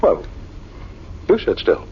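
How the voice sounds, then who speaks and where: An actor playing a brave, reassuring man trapped in the dark in a 1940s radio horror drama, talking in a measured, hesitant way. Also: lightly acted. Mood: uneasy. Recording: dull, faintly noisy old radio recording.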